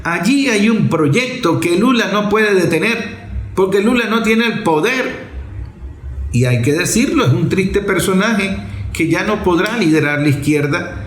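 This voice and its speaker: A man with a fairly high voice speaks in a very roomy space. He gives political commentary as an impassioned monologue. His delivery is emphatic and blunt, built on repetition and pointed rhetorical questions. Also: animated, measured pace. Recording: roomy, echoing sound.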